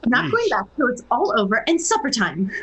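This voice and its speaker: A woman playing a practical, stubborn farm wife in a radio play, brisk and scolding. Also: on the high side, very animated, slight background noise.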